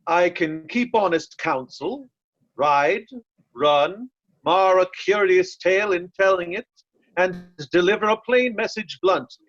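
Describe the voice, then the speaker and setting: A man's voice: sits high, measured pace, a bit bright, no echo. A man playing a loyal, blunt nobleman disguised as a servant in readers' theatre Shakespeare, earnest and deferential, on a lo-fi recording. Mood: plain, earnest. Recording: dull, lo-fi, dry sound.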